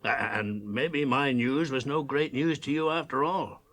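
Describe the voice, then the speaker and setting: A hearty old farmer with a burr and a slightly husky edge. He is gruff and protective, telling things in a blustery, rustic radio-drama manner. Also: mid-range voice, a little slow, fairly bright tone. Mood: kindly, hospitable. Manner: rustic, blustery, chuckling storyteller's delivery. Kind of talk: 1980s radio drama acting.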